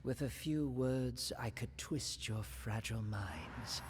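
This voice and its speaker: A man's voice: mid-range voice, background noise, close to an everyday voice. An actor playing a sinister, arrogant sorcerer villain in a game, drawing out his words with menace. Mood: gloating menace. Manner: menacing, drawn-out, drops into breathy whispers.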